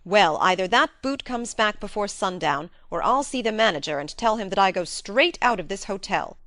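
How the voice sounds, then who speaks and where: Woman as young gentleman, plain-spoken, blunt, medium pitch, storybook character, dry sound. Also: animated, normal speed, no echo, lightly acted. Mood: indignant. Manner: blunt, informal.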